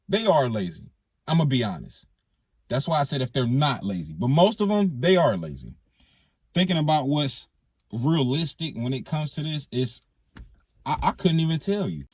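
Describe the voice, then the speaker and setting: A man's voice: medium pitch, a little mellow, breathy edge, no echo. An American man giving a casual, emphatic opinion rant as a vlogger, repeating phrases for stress, his voice slightly worn from a cold. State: losing his voice, getting sick. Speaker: online vlogger.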